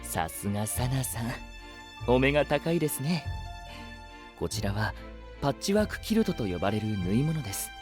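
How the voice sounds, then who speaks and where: Gracious, expressive knight played by a man. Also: mid-range voice, moderate pace, long pauses, music in the background, lightly acted. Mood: polite, pleased. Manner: courteous, formal polite speech. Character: gracious, polite male knight hosting guests. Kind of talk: anime-style audio drama acting.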